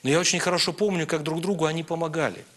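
A man speaking as a priest on a live programme, answering questions in a measured, earnest, preaching way. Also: on the high side, steady tone, a bit bright. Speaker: priest guest answering viewers' questions on air.

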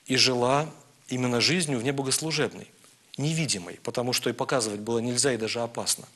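Man, priest on a live show, bright forceful voice, medium pitch, earnest preaching delivery. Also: fast. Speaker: priest guest answering viewers' questions on air. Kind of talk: live religious talk, answering questions.

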